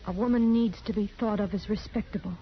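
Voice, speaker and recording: An actress playing a meek, sorrowful frontier woman, halting and plaintive, in a 1950s radio western. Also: mid-range voice, fairly even intonation, close to an everyday voice. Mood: ashamed, sorrowful. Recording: muffled, hissy 1950s radio drama recording.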